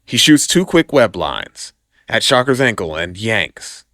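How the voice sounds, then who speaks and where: A man's voice: fairly low pitch, very animated, measured pace, noisy background. A man narrating scripted action in a dramatic audio drama style.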